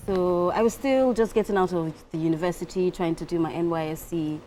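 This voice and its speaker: A woman's voice: fairly low pitch, a little fast, dark tone, a little hiss or hum. A Nigerian woman with an Igbo accent, a singer and actress in her thirties, chatting playfully as a guest on a morning talk show. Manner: laughs easily, playful. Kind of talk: casual talk show interview.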